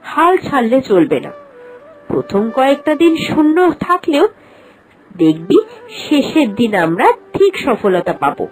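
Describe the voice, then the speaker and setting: A sensible village housewife, acted by a woman for a cartoon fairy tale, the elder of the family. She advises in a measured, cautious and encouraging manner, with lively pitch movement and music playing behind her. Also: rough recording. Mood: firm, encouraging. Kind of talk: Bengali animated fairy-tale voice acting.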